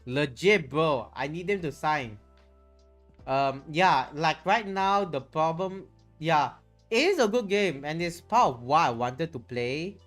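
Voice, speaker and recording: Music plays behind a streamer with a Malaysian accent and a medium-pitched voice. The talk is casual and rambling, with phrases repeated and restarted as the thoughts come. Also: a bit bright. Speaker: livestreamer. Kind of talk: casual livestream chat. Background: background music.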